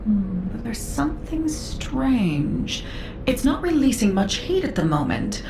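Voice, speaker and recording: An actress playing a composed, analytical researcher in a video game, speaking in a measured, formal way. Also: female voice, on the high side, very animated, vocal fry, noisy background, full character voice. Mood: curious, composed. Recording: reverberant voice over loud game music.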